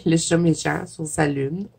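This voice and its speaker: A woman with a Québécois French accent, stuffy from a cold, chatting casually as a coloring vlogger, filling pauses with "euh" and soft giggles. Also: low voice, gravelly, slight background noise. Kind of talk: casual chat flipping through a coloring book.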